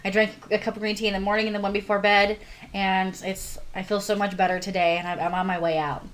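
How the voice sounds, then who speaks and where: A woman co-hosting a podcast in a rambling, giggly casual chat, her voice stuffy and a little rough from a cold. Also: mid-range voice, little pitch movement, quick pace, slight background noise. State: stuffy nose, sore throat from a cold. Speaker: podcast co-host.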